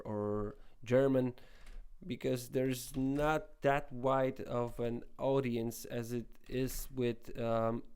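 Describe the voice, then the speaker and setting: A young Polish-accented man talks through his screen tutorial in a loose, rambling way, restarting his sentences over and over. His voice is mellow and smooth, and his pitch stays fairly flat. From Poland. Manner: rambling, many restarts and fillers. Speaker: web development tutorial host. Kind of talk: casual screen-recorded tutorial talk.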